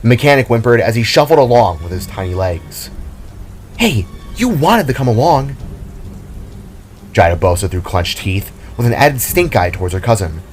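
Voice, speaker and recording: A man's voice: fairly low pitch, lively intonation, fairly quick, hardly any pauses, slight background noise. A man narrating a scripted fantasy adventure story in a dramatic style. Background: music.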